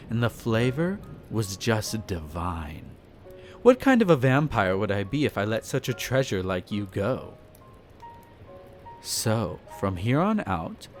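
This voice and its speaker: A man playing a possessive, flirtatious vampire master in a roleplay audio drama, speaking formally and condescendingly with theatrical pauses. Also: lowish voice, a little mellow. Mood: savoring, possessive. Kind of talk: scripted roleplay audio drama, addressing the listener. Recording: music behind the voice. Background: background music.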